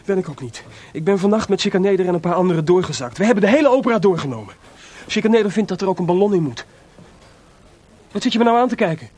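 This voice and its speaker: An actor playing a feverishly excited, sleepless and proud male composer in a radio drama, confiding and rhetorical. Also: sits high, fast talker. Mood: excited, boastful.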